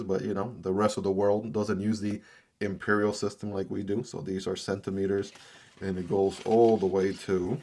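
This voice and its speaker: A man's voice: lowish voice, warm tone. A man whose first language is Spanish, doing a casual, upbeat unboxing review and often tagging "right?" onto his sentences. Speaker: product reviewer.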